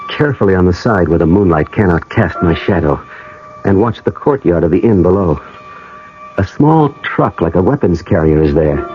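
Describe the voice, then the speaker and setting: Brooding man narrating his journey, low voice, measured and moody, muffled old radio drama with music under. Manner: measured, atmospheric first-person storytelling. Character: brooding American man on an ancestral pilgrimage, narrating. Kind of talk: 1980 radio drama acting.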